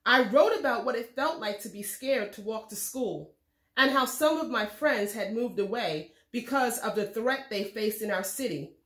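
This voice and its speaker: A woman reading a children's storybook aloud in a measured, expressive way. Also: fairly high pitch, roomy sound. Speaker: read-aloud host reading a children's book. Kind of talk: scripted storybook narration for children.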